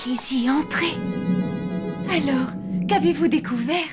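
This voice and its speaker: A fussy zombie mum. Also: female voice, high voice, measured pace, over loud music. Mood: determined. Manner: fussy, questioning, doting. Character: suspicious zombie mother running a hotel. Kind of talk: French cartoon dubbing.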